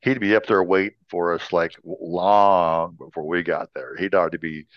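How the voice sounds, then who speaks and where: A man's voice: low-pitched, fairly even intonation, moderate pace, dry recording, lo-fi sound. An American man, middle-aged or older, co-hosting a casual podcast, chatty and anecdotal with a joking manner. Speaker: podcast co-host. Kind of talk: casual chat.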